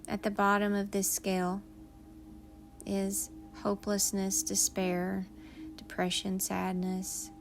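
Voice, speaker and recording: A woman leading a guided meditation in a soothing, unhurried manner, leaving long pauses between phrases. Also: mid-range voice, monotone, creaky, soft background music.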